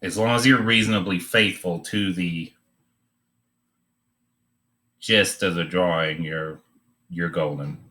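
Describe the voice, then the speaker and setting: A man giving an informal, unscripted drawing demonstration, thinking aloud in a halting way as he teaches. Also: sits low, fairly even intonation, bright, forceful tone.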